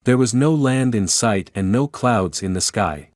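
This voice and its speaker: A man's voice: lowish voice, lively intonation, a little slow, no echo, studio quality. A man reading scripted storybook narration as the narrator.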